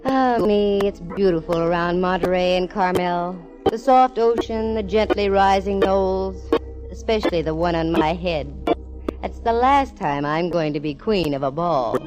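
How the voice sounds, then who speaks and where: A woman's voice: fairly low pitch, measured pace, darkish tone, natural voice, rough recording. An American actress playing a wry, wisecracking, self-assured female private eye, narrating in 1940s radio detective style. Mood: wistful, wry. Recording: old radio recording, faint organ music.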